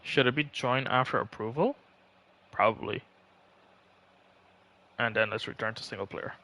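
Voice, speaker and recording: Bright-voiced man streaming a game. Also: mid-range voice, normal speed, poor audio. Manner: rambling, thinking aloud. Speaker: game livestreamer. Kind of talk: casual livestream gaming commentary. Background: faint game music.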